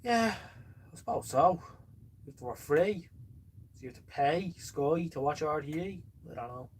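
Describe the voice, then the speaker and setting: A man's voice: on the high side, expressive, normal speed, a little hiss or hum. A man hosting a casual live stream, rambling along in a jokey, self-deprecating way as he answers viewers. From born in the Netherlands, lives in Ireland.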